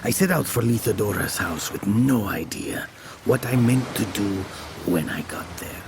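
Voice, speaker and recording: An actor playing a brooding, jealous young man, narrating his past in a slow, hushed audio drama style. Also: lowish voice, animated, gravelly, noisy background. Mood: brooding, haunted. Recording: music behind the voice.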